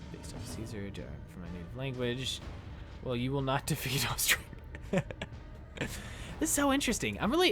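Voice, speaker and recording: A young man chatting casually with his viewers while he plays, talking into a headset mic in a small room. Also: medium pitch. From United States. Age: young adult. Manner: chatty, animated, talks to chat while playing. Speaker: streamer. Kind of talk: casual gameplay commentary with chat.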